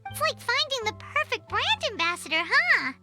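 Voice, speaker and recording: A woman voicing a tiny, excitable fairy companion who talks about herself in the third person. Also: very high-pitched, normal speed, music in the background. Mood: chatty. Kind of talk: video game voice acting.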